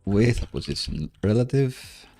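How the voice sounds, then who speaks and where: Low-pitched man thinking aloud while live coding. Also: sing-song, mellow, soft background music. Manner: halting, thinking aloud as he works.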